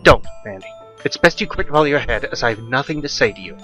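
A man voicing a protective, sharp-tongued mother in a horror audiobook. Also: medium pitch, lively intonation, quick pace, soft background music. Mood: angry, scolding. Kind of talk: audiobook horror story character voice.

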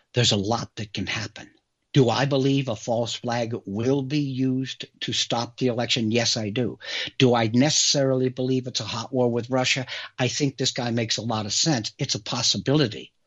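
American guy doing a political radio rant, rough husky voice, kinda muffled audio, lots of pointed questions. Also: mid-range voice, a bit bright. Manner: emphatic, rhetorical questioning, deliberate pauses. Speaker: political talk show host. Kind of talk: opinionated monologue to listeners.

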